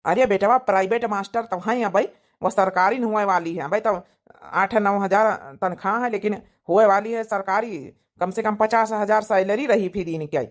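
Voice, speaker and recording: A woman's voice: mid-range voice, moderate pace, lightly acted. A woman voicing a chatty middle-aged village matchmaker uncle in a cartoon comedy, rambling persuasively with an Awadhi accent.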